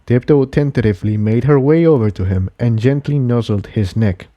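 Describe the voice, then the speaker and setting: A man's voice: mid-range voice, normal speed, warm tone, no echo, highs cut off. A man reading a scripted fantasy adventure story as narrator. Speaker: fan fiction narrator.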